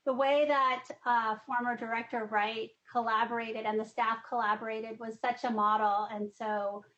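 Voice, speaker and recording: A woman's voice: a little high, level tone, moderate pace, fairly bright tone. A woman commissioner offering a welcome at a video call meeting, speaking with many fillers. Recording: dull lo-fi video call audio.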